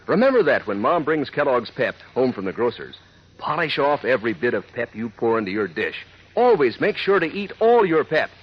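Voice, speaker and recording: Husky, swooping male radio pitchman. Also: very animated, normal speed. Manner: folksy, enthusiastic pitchman. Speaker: announcer reading a sponsor ad. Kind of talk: 1940s radio advertisement. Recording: dull vintage radio recording.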